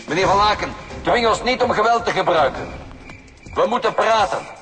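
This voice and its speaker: An actor playing a firm police negotiator calling out to armed suspects in a radio drama. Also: male voice, high-pitched, animated, moderate pace, fairly bright tone. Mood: firm, urgent. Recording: reverberant, distant, faint music behind.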